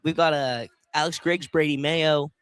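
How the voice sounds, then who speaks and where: A man giving casual, analytical color commentary on a live basketball game, often pausing mid-thought. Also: fairly high pitch, steady tone, a bit bright, smooth, dry recording. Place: courtside at a college basketball game.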